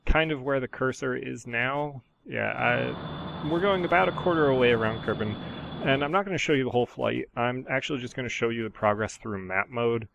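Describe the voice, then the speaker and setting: Sore-throated man with a gravelly voice. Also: medium pitch, a little fast, lo-fi sound. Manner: relaxed, rambling, many ums and uhs. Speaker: gaming channel host. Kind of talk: casual gameplay voiceover commentary. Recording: steady background noise.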